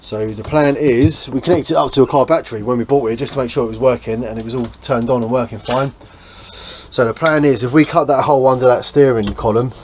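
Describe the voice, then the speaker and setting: English man, casual DIY vlog host, informal blokey delivery, mid-pitched voice, faint location noise. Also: steady tone, a little fast, a little mellow. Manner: informal, blokey, explaining as he works. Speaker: host of a boat restoration vlog. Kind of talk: casual hands-on vlog chat. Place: on and around a small boat.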